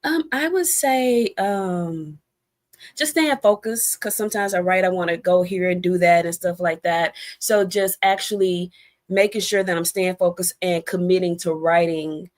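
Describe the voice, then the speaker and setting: A woman's voice: fairly low pitch, measured pace. A middle-aged American woman talking casually as an interview guest, in a chatty, storytelling way with conversational asides. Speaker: guest, debut novelist.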